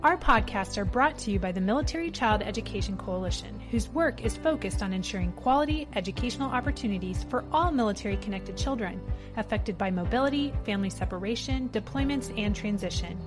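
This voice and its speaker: A woman's voice: medium pitch, lively intonation, fairly quick. Woman podcast host over music. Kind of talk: scripted podcast introduction. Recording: music behind the voice.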